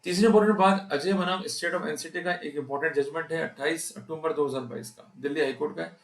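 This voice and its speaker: A man's voice: a little high, fast talker, roomy sound. A man with an Indian accent giving an explanatory law lecture, emphatic, putting rhetorical questions to his listeners. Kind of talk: explanatory legal lecture mixing Hindi and English terms.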